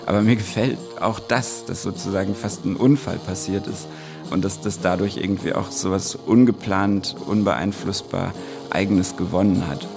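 A man's voice: low-pitched, steady tone, normal speed, vocal fry. A man speaking reflectively in an interview, in long, loosely strung thoughts. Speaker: interviewee, musician. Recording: music under the voice. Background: music.

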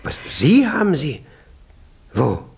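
An actor in a radio play playing a nervous, pompous senior civil servant who stammers and repeats himself in formal speech. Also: male voice, fairly high pitch, huge pitch swings, slow pace, vocal fry, breathy edge, exaggerated voice. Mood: flustered, agitated. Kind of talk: German radio play acting.

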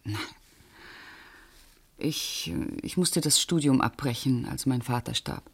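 An actress playing a self-controlled bank official in a radio play, answering precisely and guardedly in short, restrained phrases. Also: female voice, a bass voice, slow, gravelly, slightly rough, exaggerated voice. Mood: controlled, terse. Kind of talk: German radio play acting.

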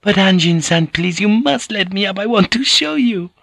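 Man voicing a high, polite woman. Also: expressive, moderate pace, vocal fry, fairly bright tone, no room sound, clean studio sound, full character voice. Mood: flustered, pleading. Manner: polite, apologetic, careful foreign-sounding English. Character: gentle, deferential Japanese woman, earnest and coaxing. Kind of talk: audiobook character voice.

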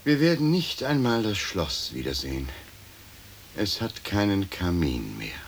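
An actor playing a courteous, pensive gentleman in a radio play, speaking formally and deliberately on a hissy old recording. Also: sits low, very animated, heavily acted. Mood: grave. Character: courteous, pensive gentleman arguing with His Lordship. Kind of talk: stereo radio play acting.

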